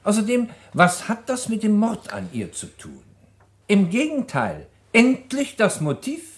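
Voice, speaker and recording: Probing prosecutor, man, higher-pitched, very lively intonation, sceptical, incredulous, radio crime drama. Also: unhurried, full character voice. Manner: incredulous, pointed questioning. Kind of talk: German radio crime play acting.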